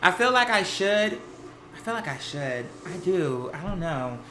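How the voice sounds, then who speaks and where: Bright, sassy American livestream host. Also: mid-range voice, very animated, measured pace, rough recording. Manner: sassy, campy, slang-heavy. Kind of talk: casual livestream chat. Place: at home, laundry running nearby. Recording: some household noise behind the voice. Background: laundry noise.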